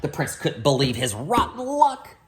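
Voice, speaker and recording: Low-voiced, very animated woman storyteller. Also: moderate pace. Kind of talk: expressive children's story read-aloud.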